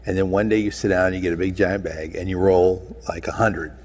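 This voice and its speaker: Very deep-voiced man, laid-back guest. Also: steady tone, a little mellow. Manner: laid-back, halting and meandering. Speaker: talk show guest. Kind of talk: casual chat.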